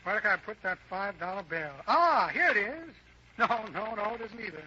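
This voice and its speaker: A man's voice: sits high, big pitch swings, normal speed, pushed and bright, full character voice. An actor playing a boastful, shifty magician and con man with pompous, florid patter in an old American radio comedy. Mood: rambling, evasive. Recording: muffled, hissy old-time radio recording.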